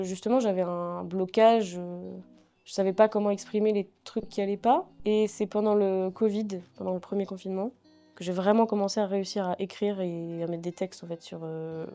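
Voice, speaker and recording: A young woman guest talking casually in an interview, relaxed and hesitant with many fillers as she thinks aloud. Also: medium pitch. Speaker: singer-songwriter guest.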